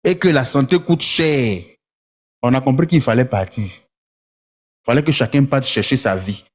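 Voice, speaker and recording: A man's voice: mid-range voice, a little slow, highs cut off. A man from Côte d'Ivoire, stammering and repeating words while ranting at his viewers with rhetorical questions. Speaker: online commentator. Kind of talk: heated political rant to viewers.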